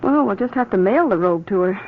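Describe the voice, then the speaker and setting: A woman's voice: medium pitch, animated, fairly quick, close to an everyday voice. A woman playing a worried young wife who frets about her absent mother, in 1940s radio acting style on a hissy old radio recording. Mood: curious. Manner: bright, fretful, insistent. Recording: muffled old radio recording with faint hiss.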